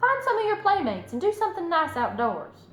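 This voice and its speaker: A woman voicing a stern, worried, sensible farm mother in a storybook, firm and measured. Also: very high-pitched, huge pitch swings, a bit bright, voice pushed up, exaggerated voice. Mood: gently worried. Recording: roomy echo, music underneath.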